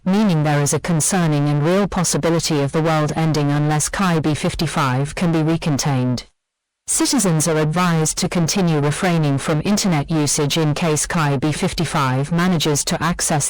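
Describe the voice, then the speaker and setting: A woman voicing an official emergency alert announcer, reading a formal script in a measured, impersonal way. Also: deep voice, darkish tone, dry recording, lightly acted, low-quality recording.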